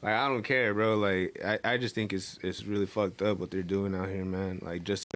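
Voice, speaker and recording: Dude, lowish voice, earnest casual podcast rant, says bro a lot. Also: male voice, fairly even intonation, normal speed, fairly bright tone. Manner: heartfelt, repeats "bro" and "you know what I mean". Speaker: podcast co-host. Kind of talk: casual chat.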